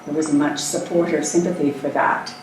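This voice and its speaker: An older woman born in Scotland, talking about her life in a relaxed, thoughtful way, pausing often as she answers. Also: sits low. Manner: relaxed, thoughtful, with frequent hesitations. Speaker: interview guest, actress. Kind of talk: casual conversational interview. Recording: echoey room, distant mic, faint noise.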